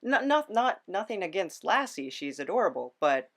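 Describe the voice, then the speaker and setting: A woman's voice: lowish voice, big pitch swings, moderate pace. A woman hosting a casual interview chat, playful, feeling her way through her questions. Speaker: podcast host.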